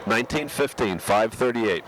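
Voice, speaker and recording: A man with a head cold, a little nasal and hoarse, calling a high school basketball game play by play with frequent restarts. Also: moderate pace. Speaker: play-by-play announcer. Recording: loud crowd and gym noise behind. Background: crowd and bouncing basketball.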